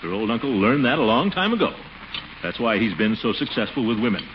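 Blustery, self-satisfied middle-aged uncle for vintage radio comedy; chuckling, highly animated delivery, lo-fi sound. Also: male voice, brisk, noisy background. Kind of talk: 1940s radio comedy acting. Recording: dull, lo-fi 1940s radio recording.

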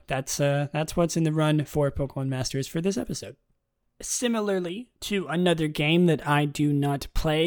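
A young man with a raspy voice hosting his own podcast, talking in a relaxed, rambling way and reading out game news. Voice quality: raspy, low tonight. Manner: relaxed and rambling, many ums and restarts. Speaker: podcast host.

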